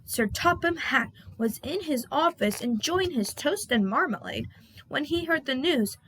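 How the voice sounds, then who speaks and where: A woman reading a children's storybook aloud as an expressive narrator. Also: high voice, unhurried, rough recording. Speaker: storybook reader.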